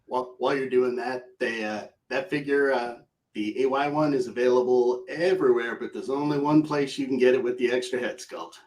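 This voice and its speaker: A man co-hosting a live stream in a relaxed, easy-going way, laughing often as he chats. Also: medium pitch, brisk, rough recording. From United States. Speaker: co-host. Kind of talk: casual live stream chat.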